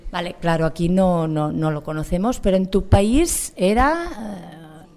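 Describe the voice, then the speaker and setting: Mellow-voiced woman interviewer, hesitant. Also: on the low side, animated, measured pace. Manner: hesitant, many fillers and restarts. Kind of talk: casual interview.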